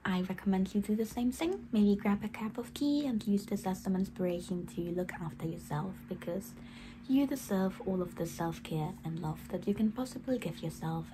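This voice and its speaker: A young woman vlogging from her bedroom in a chatty, self-deprecating way, her voice slightly croaky after an illness. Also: medium pitch, background noise. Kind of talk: casual self-care vlog chat. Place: small bedroom at home.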